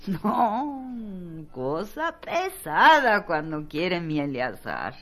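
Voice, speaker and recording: A boastful, doting Mexican woman spins her tales slowly and emphatically. Her voice swings widely from a fairly low base, with faint music behind her. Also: sing-song, exaggerated voice. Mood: admiring, gossipy. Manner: emphatic, colloquial storytelling. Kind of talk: radio drama acting.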